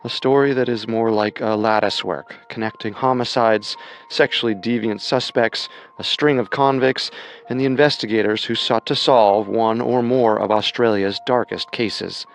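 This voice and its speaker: True crime host: man, low creaky voice, measured and dramatic, faint music underneath. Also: steady tone. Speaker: podcast host and narrator. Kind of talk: scripted true crime narration.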